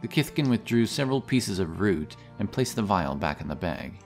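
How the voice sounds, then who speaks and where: A man narrating a scripted fantasy audiobook in a measured, dramatic way. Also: lowish voice, sing-song, gravelly, soft background music.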